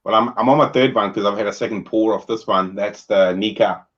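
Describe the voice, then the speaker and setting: A man hosting a casual video-call chat about whisky, relaxed and chatty. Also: lowish voice, brisk, highs cut off. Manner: relaxed, chatty, uses fillers. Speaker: host.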